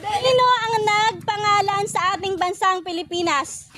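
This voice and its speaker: A woman with a Filipino accent playfully hosting a quiz, putting questions loudly to contestants. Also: very high voice, steady tone, unhurried, very noisy. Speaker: quiz host. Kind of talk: playful quizzing in a comedy video.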